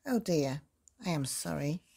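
A man's voice: on the high side, lively intonation, unhurried, vocal fry, a little mellow, slightly rough, exaggerated voice. A man voicing a scheming laundry woman from a storybook, speaking emphatically. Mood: mock apologetic.

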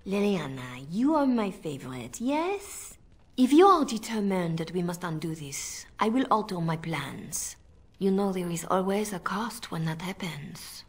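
Husky, commanding seductress spymaster. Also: female voice, fairly low pitch, very animated, a little slow, heavily acted. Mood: coaxing, cool. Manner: coaxing, commanding, condescending endearments. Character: sly, controlling, seductive woman spymaster. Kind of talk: video game voice acting.

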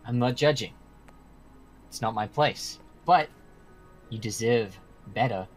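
A man playing an earnest, persistent human emissary in an amateur game roleplay, speaking in a formal, measured, portentous way. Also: medium pitch, soft background music. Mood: solemn, persuasive. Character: earnest, persistent male mortal emissary with a proposition.

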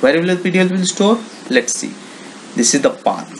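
A man with an Indian accent giving an informal software walkthrough, explaining step by step in simple, repetitive phrases. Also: high-pitched, animated, moderate pace, a little hiss or hum, rough recording. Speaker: software tutorial presenter. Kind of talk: informal screen-recording walkthrough.

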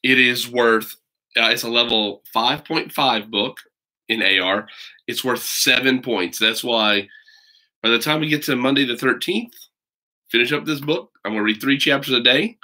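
A man's voice: mid-range voice, moderate pace, bright, forceful tone. An American man in his forties, a teacher talking casually and reading a storybook aloud to children at home. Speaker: teacher and children's book read-aloud host. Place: small room at home, indoors. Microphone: webcam or laptop mic at arm's length.

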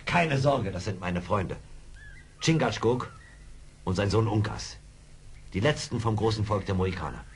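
An actor playing a seasoned, wry frontier scout in a German radio play, dry, mocking and commanding. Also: male voice, fairly low pitch, lively intonation, moderate pace, long pauses. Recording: hissy old radio play record.

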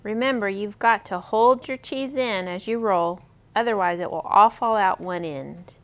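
A woman's voice: mid-range voice, normal speed, a bit bright. A woman in her thirties hosting a friendly step-by-step cooking demonstration for children, speaking in simple, encouraging instructions. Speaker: library cooking program host for kids.

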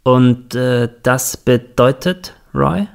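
A man's voice: medium pitch, drawn-out words, vocal fry. An actor playing a smug, demanding, lecherous male boss in an audio drama, talking in a commanding way. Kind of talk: German audio drama acting.

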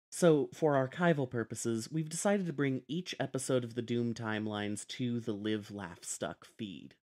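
A man's voice: medium pitch, a little mellow. Man introducing a podcast episode. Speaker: podcast host.

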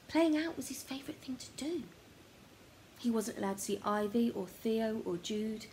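Female storyteller, middle-aged, mid-pitched, children's tale read at home, faint background noise. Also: warm tone, low-quality recording. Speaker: story reader. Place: room at home.

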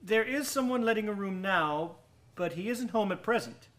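An actor in a radio drama playing an over-polite, nervous murderer with a genteel, halting way of talking. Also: male voice, high-pitched, expressive, a bit bright. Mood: nervous politeness. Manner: genteel, overly courteous, halting. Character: nervous, over-polite male murderer hiding guilt from police.

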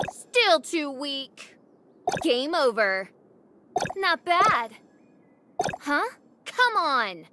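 A woman's voice: very high-pitched, very noisy, heavily acted. An actress voicing a playful, confident young female gunfighter in a video game, with smug, taunting delivery. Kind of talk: video game battle lines.

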